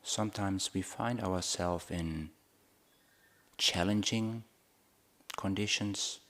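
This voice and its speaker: A man guiding a meditation as a Buddhist teacher, speaking in short, measured phrases with long pauses between them. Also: sits low, fairly bright tone. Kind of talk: guided meditation talk.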